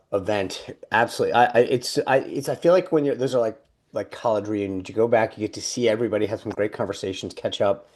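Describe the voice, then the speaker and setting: A middle-aged man co-hosting a casual political chat, talking loosely with many restarts and quick laughs. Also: fairly low pitch, a little mellow. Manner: chatty, full of restarts and laughs. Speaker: podcast co-host.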